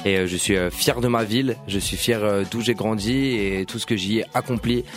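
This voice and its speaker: A man's voice: lowish voice, fairly quick, faint music behind. A man in his thirties from the Paris region, a musician guest talking casually, hesitant with many fillers and jokes. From Paris region, France. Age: 30s. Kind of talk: casual interview chat.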